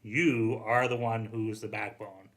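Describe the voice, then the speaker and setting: Male podcast co-host, lowish voice, casual conversational storyteller, informal and self-interrupting. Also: steady tone, a little slow, fairly bright tone.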